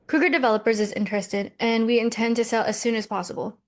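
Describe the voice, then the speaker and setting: An actress playing a pragmatic, easily exasperated millennial American twin in a comedic table read. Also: female voice, on the high side, steady tone, quick pace, close to an everyday voice. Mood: brisk.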